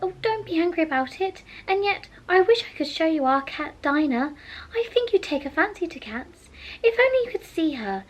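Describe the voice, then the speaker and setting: A woman reads a well-mannered, curious young girl who chatters on eagerly. Her voice sits high and bright. She speaks with a tidy, proper politeness. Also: big pitch swings, normal speed, dull audio. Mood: chatty.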